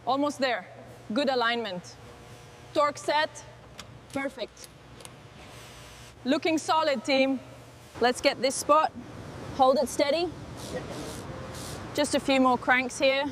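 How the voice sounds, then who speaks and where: A woman's voice: high-pitched, slow pace, long pauses, a bit bright, a little hiss or hum. A woman giving short work remarks as part of a truck restoration team.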